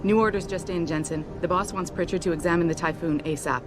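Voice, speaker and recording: An actress playing a confident, friendly young company pilot in a video game, speaking casually and frankly. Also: female voice, fairly low pitch, fairly even intonation, fast talker, lightly acted. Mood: easy, friendly.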